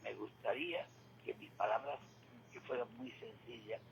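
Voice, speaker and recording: An older man giving a reflective radio monologue, halting and repeating words, with rhetorical questions. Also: mid-range voice, steady tone. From Spain. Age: 60s or older. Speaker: retired regular contributor giving commentary. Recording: clearly noisy radio feed.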